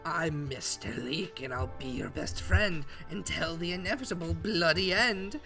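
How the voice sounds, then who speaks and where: A man voicing a creepy leek animatronic that speaks in a slow, low, menacing way. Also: lively intonation, vocal fry, pushed and bright, soft background music, exaggerated voice.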